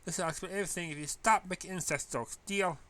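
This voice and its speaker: A man's voice: high-pitched, fast, fairly bright tone, pitched above the performer's own voice. A man voicing a prim, easily embarrassed, studious teenage girl in a story, indignant and defensive. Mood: exasperated. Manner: indignant, defensive, clipped protests.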